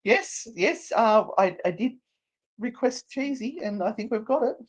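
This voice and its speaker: Slow, theatrical woman narrating a story. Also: fairly high pitch, animated. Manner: playful, theatrical. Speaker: co-host and narrator. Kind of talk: live dramatic story narration with chatty banter.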